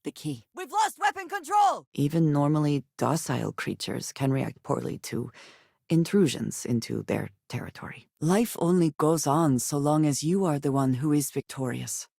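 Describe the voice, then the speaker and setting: An actress playing a guarded, solemn woman spacefarer in a video game, speaking in measured, formal phrases. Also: deep voice, big pitch swings, creaky, darkish tone, husky, dry recording, clean studio sound, exaggerated voice. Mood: urgent, alarmed. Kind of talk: video game character dialogue.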